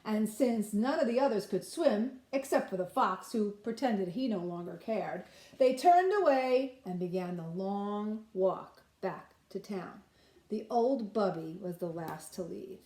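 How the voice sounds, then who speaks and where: A woman reading a children's storybook aloud like a nursery teacher, pausing to put simple questions to listeners. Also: medium pitch, animated, warm tone, reverberant, low-quality recording.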